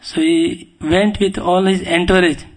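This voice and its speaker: A man with an Indian accent giving a devotional scripture lecture as a guest preacher, speaking humbly and haltingly and often clearing his throat. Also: high-pitched, steady tone. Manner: humble, deferential. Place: speaking at a temple.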